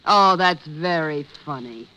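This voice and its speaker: A woman's voice: low-pitched, animated, takes it slowly, sharp tone, exaggerated voice, poor audio. A woman playing a discontented wealthy wife in an old radio drama, with a theatrical, cutting delivery. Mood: nagging. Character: sharp-tongued, discontented wealthy socialite wife. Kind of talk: 1940s-50s radio drama acting.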